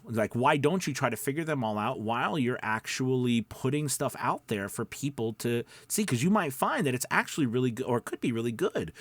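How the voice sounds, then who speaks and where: A man's voice: mid-range voice, no room sound. Man coaching casually, very dry sound. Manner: rambling, emphatic, self-deprecating. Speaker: voice-over coach and video host. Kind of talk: casual coaching talk to viewers.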